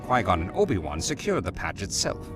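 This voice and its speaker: Man acting a deep, composed Jedi. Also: wildly swooping pitch, brisk. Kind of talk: scripted comic dub narration. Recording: music behind the voice.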